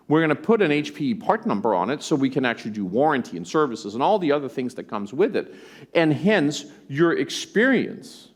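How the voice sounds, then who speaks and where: A man from Denmark with an American accent gives a conference keynote, conversational and animated, tossing in rhetorical asides. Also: mid-range voice. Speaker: tech company executive presenting. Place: conference stage.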